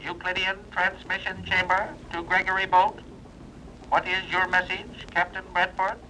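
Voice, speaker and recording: A stiff, formal leader of a hidden civilization. His high voice is flat and sharply bright, coming over a radio link in a vintage adventure serial. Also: normal speed. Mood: formal, submissive. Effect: radio transmission. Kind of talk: 1930s radio serial acting. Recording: noisy vintage radio recording.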